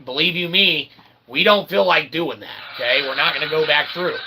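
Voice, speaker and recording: A man's voice: a little high, normal speed. A man giving a rambling, informal monologue as a channel host, verbose and repetitive, with mock-grandiose convoluted phrasing and frequent check-in tags. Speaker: host of an independent online channel. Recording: clear background ambient noise.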